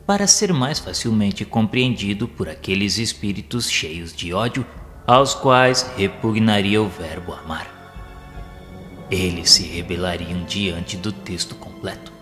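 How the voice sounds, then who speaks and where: A low-voiced man narrates with a Brazilian accent. His delivery is formal and literary, read from a script, with a long reverberant tail and soft music behind it.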